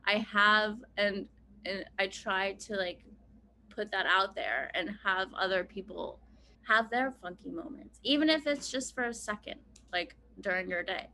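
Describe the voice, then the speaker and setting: A woman's voice: mid-range voice, a little slow, pushed and bright. A woman in her thirties from Pennsylvania, a podcast guest talking casually with many "like" fillers and easy laughter. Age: 30s. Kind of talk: casual conversation.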